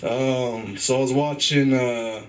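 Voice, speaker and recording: A man's voice: medium pitch, steady tone, slow, far from the mic. A man in his twenties doing an open mic stand-up set in a comedy club, hesitant and full of fillers, swearing often and laughing at his own jokes. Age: 20s.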